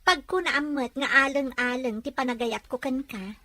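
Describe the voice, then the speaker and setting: A woman's voice: sits high, moderate pace, bright, forceful tone, no room sound. A woman playing a defiant, plaintive young woman in a domestic drama. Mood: defensive. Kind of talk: Ilocano drama acting.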